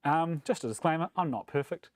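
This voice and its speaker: A man from New Zealand with a New Zealand accent, giving a relaxed, self-deprecating talk at a developer meetup, with plenty of ums and uhs. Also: on the high side, brisk, no room sound. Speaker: conference speaker. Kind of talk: casual tech meetup talk. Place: meetup room.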